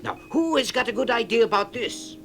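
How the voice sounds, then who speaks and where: A man's voice: extremely high pitch, fairly quick, vocal fry, voice pushed up. A man voicing a bearded old helper on a children's storybook record, thoughtful and earnest in a folksy, exclamatory way. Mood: earnest urging. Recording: vintage record with music behind.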